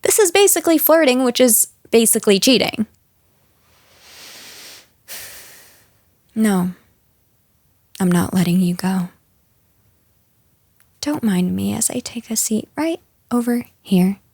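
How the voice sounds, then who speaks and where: A woman's voice: on the high side, expressive, a little mellow, no echo, clean studio sound, full character voice. A woman playing a jealous, possessive, unhinged girlfriend in an intimate roleplay audio drama, taunting the listener with condescending sweetness and menace. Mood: quietly menacing. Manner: slow, taunting, condescending pet names, slipping into breathy whispers.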